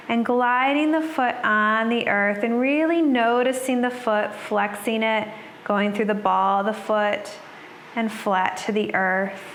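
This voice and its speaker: A woman yoga instructor guiding a class in a soothing, unhurried manner, leaving pauses between her cues. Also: mid-range voice, a bit bright. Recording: roomy echo with background noise.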